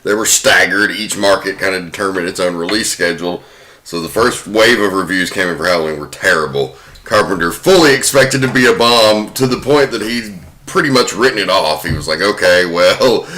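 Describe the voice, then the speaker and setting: Very deep-voiced male horror podcast host. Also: bright, forceful tone. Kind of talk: horror podcast talk and dramatic storytelling narration. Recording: roomy, music behind.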